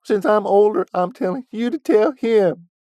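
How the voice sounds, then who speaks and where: A man voicing an excitable, portly old ranch hand who squawks and rambles. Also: sits high, moderate pace, dry recording, pitched above the performer's own voice, close to an everyday voice. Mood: indignant. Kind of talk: audiobook character voice.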